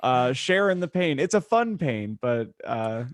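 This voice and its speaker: A man's voice: mid-range voice, sing-song, measured pace, dry recording. A man co-hosting a podcast, explaining the show in casual chat with dry, grumbling humour. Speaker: podcast co-host.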